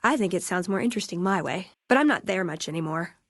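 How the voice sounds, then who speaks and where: A woman's voice: fairly low pitch, expressive, no echo, studio quality. An actress playing a witty, eager young woman scribe and scavenger in a video game, with a wry, chatty manner. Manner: wry, chatty, quick to joke. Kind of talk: video game character dialogue.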